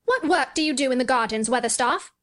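A woman's voice: high-pitched, expressive, fairly quick, a bit bright, voice pushed up. A woman voicing a proud, imperious young boy in a storybook, speaking grandly and giving orders. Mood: curious. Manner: grand, commanding.

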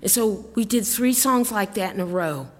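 A woman's voice: medium pitch, lively intonation, moderate pace, big-room sound. Chatty woman telling stories onstage. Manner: chatty, rambling, laughing. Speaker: singer-songwriter. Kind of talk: storytelling between songs at a live show. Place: live concert venue with audience.

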